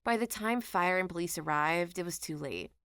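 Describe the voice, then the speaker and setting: A woman's voice: on the low side, animated, moderate pace, a bit bright, clear, resonant tone, dry recording, clean studio sound. A woman reading out written anecdotes as a scripted online story narrator.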